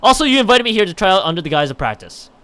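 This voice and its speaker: A man's voice: sits high, sing-song, very fast, sharp tone, smooth. A man livestreaming, chatting and reading a visual novel aloud, often stumbling over and rereading his lines. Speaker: live streamer.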